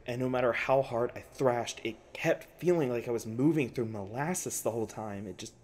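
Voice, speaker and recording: A man playing a shaken, traumatized researcher in an audio roleplay, speaking in a halting, stammering monologue. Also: mid-range voice, a little mellow, lightly acted. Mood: haunted, distressed. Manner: halting, stammering, faltering delivery. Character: shaken, traumatized male researcher recounting torment. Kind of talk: ASMR roleplay acting, intimate monologue.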